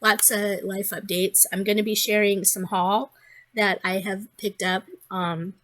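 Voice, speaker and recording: A woman with a hoarse, congested voice, chatting casually in a crafting vlog, rambling with many ums and restarts. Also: medium pitch, level tone, unhurried, fairly bright tone. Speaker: crafting vlogger.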